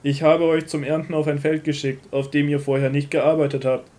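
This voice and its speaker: Man playing authoritative prophet and teacher, fairly high male voice, solemn, measured, emphatic, Bible radio play. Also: flat delivery, darkish tone, lightly acted. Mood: earnest, teaching. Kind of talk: scripted audio drama of a Bible story.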